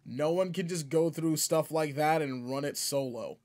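Guy acting as a laid-back space guardian mentor in a game roleplay, kinda high voice, wry and slangy, teases his partner. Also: normal speed, darkish tone, lightly acted. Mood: teasing, instructing. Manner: casual, slangy, wry, talking to an unheard partner. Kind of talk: video game roleplay audio, in-character monologue.

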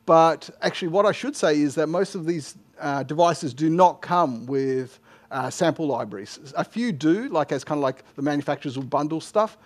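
A middle-aged man speaks at a conference in a slightly high voice. He gives informal technical answers, often stopping and restarting his phrases as he goes. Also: moderate pace. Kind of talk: informal technical Q&A after a talk. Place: indoor lecture room, at a lectern. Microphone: headset microphone.

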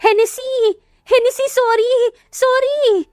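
A woman's voice: very high-pitched, fairly even intonation, takes it slowly, a little mellow, no room sound, clean studio sound, faint music behind, voice pushed up. A woman voicing a remorseful, pleading young female best friend in a romance audio story. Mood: frantic apology. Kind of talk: acted dialogue in Tagalog romance audio story.

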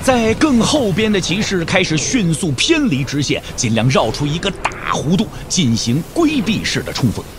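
Lively male storyteller, high-pitched and animated. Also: normal speed, few pauses, over music. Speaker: narrator of an audio drama. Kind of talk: dramatic scripted narration of martial-arts fiction.

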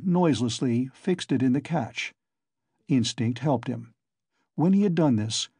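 A man's voice: fairly high pitch, dark tone, breathy edge, no room sound, studio quality. A man narrating a literary audiobook in a scripted reading style.

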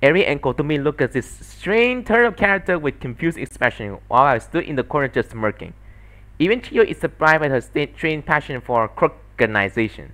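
A man's voice: fairly high pitch, big pitch swings, moderate pace, fairly bright tone. A man giving scripted narration for a solo fandub of a story.

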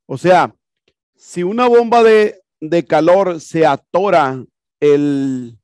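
A man's voice: a little high, slow, darkish tone, no echo, clean studio sound. A man teaching an HVAC class in a classroom, explaining casually and repeating himself with rhetorical questions. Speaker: HVAC instructor. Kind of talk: classroom lecture explaining a projected video. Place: classroom with projector screen.

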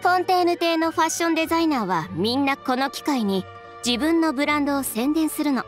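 A young woman designer in a video game, self-assured and sharp-tongued. Her high voice moves animatedly through brisk, dryly teasing lines, with soft music underneath. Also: lively intonation. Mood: assured, explaining. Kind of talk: video game voice acting in Japanese.

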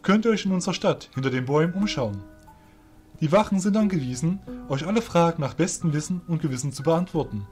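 A man's voice: a little high, expressive, fast, darkish tone, soft background music, natural voice. A man voicing a courteous but commanding fairy general, speaking formally and with authority.

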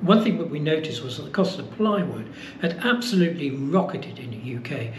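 A man's voice: a little high, brisk. A man, casually explaining costs to camera with plenty of fillers. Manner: relaxed, explaining with many uh fillers. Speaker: boat-building vlogger. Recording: echoey room with faint noise.

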